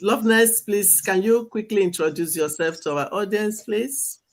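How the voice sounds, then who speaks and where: Brisk woman hosting a live stream. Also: medium pitch. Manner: brisk, repeats thanks. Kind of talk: moderating introductions.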